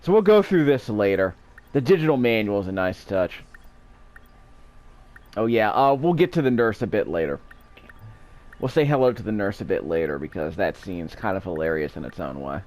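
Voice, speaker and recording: Man doing animated, rambling game commentary. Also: on the low side, moderate pace, long pauses. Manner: rambling, digressive, with asides. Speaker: game video host. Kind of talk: casual gameplay commentary. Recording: game music behind. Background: video game music.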